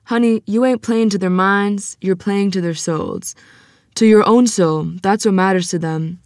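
A woman's voice: medium pitch, no room sound, clean studio sound, lightly acted. A woman playing an ailing, frank, devout small-town organist in a table read, with folksy, plainspoken phrasing. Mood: urgent, encouraging. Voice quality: coughing.

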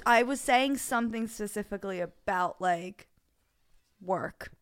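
A woman's voice: mid-range voice, measured pace, clear, resonant tone, no room sound, studio quality. Chatty foul-mouthed young woman co-host. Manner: chatty, swears freely, animated storytelling. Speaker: podcast co-host. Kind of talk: casual storytelling chat.

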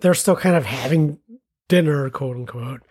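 Lower-voiced woman chatting about movies. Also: moderate pace, dark tone, slightly rough. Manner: chatty, searches for words with many likes. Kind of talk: casual film discussion.

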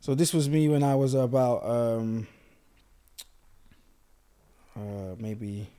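Young man, mid-pitched, halting chatty delivery, podcast co-host. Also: mellow, clean tone. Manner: hesitant, lots of ums. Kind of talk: casual chat.

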